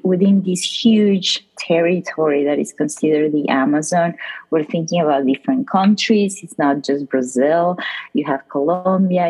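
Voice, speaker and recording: A woman's voice: low-pitched, darkish tone, slightly rough, low-quality recording. A woman from Peru with a Peruvian Spanish accent, explaining things conversationally and discursively as an interview guest, often checking in with the listener. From Lima, Peru. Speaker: professor, podcast guest.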